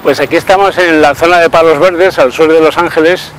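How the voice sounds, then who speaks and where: A man's voice: fairly high pitch, fairly even intonation, a little fast, rough recording. A middle-aged man from Aragon with an Aragonese Spanish accent, chatting casually as he shows a reporter around. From Aragon, Spain. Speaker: expatriate interviewee showing a reporter around. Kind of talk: casual on-location interview. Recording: on-location, faint urban traffic noise.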